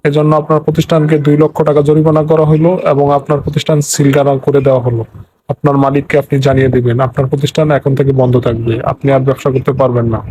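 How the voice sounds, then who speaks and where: A man's voice: mid-range voice, little pitch movement, rapid-fire, warm tone, breathy edge, close to an everyday voice, poor audio. A man playing a stern, formal consumer rights officer in a comic cartoon, speaking firmly and officially.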